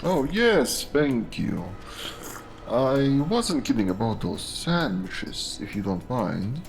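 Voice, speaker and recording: A man's voice: medium pitch, very animated, takes it slowly, vocal fry, faint music behind, exaggerated voice. A man playing a chatty, hungry deputy sidekick with an ingratiating manner in an audio drama. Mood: eager.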